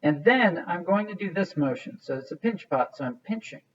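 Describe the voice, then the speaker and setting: A man's voice: high voice, expressive, fairly quick, dull audio. A man teaching a hands-on craft demonstration in a casual, informal way, thinking aloud as he works. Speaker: art teacher.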